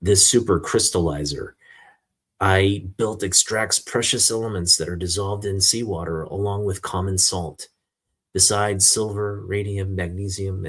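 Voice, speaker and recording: A resolute male superhero from a comic book, read out by a man. He explains himself in solemn, deliberate statements. The voice is low and husky. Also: a bit bright, heavily acted.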